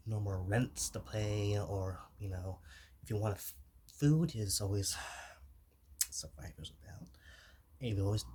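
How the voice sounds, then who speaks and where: A man playing an easygoing, shy zombie mentor in an ASMR roleplay, speaking softly and haltingly, often dropping into whispers. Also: lowish voice, breathy edge. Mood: soft, encouraging. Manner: halting, chatty, reassuring, with sighs. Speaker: ASMR performer.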